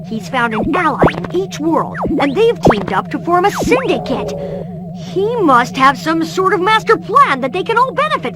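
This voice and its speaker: A confident boy genius from a cartoon game. He is earnest and explanatory, with a high voice that moves around a lot. Music plays in the background. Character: brainy, earnest boy inventor, confident young leader. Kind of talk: cartoon video game voice acting.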